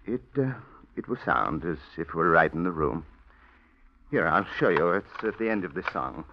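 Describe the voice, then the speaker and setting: A timid, devoted singing teacher, with a lowish voice that swings widely as he stammers out tender words. The sound is muffled and narrow, like an old radio broadcast. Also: animated, moderate pace. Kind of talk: 1940s radio drama acting.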